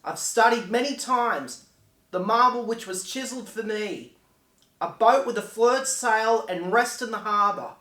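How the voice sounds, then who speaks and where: High-voiced, solemn, regretful ghost acted by a man. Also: measured pace, pushed and bright. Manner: solemn, declamatory. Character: regretful dead man musing from the grave. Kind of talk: poetic dramatic monologue. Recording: echoey room.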